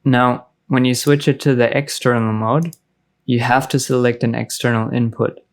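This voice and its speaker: A man narrating a casual software tutorial as a music production tutor, informal and explanatory in manner. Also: medium pitch, steady tone, normal speed.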